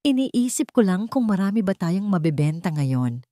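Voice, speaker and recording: A woman's voice: mid-range voice, very animated, normal speed, warm tone, no echo, clean studio sound, natural voice. A woman with a Filipino accent voicing a poor, protective young man, an elder brother, in an earnest, tender way for an audio drama. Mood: evasive, casual.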